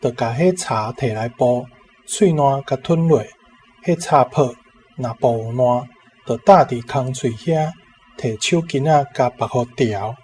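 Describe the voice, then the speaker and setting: A man's voice: mid-range voice, unhurried, warm tone, slight background noise. A man with a Taiwanese accent, a language teacher giving a spoken translation.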